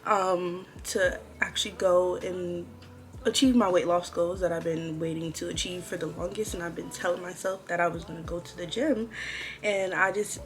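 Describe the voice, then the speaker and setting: Young woman chatting casually, lower-pitched voice. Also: steady tone, moderate pace. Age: 20s. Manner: chatty, informal, girl-talk address to viewers. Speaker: vlogger. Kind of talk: casual chit-chat to camera.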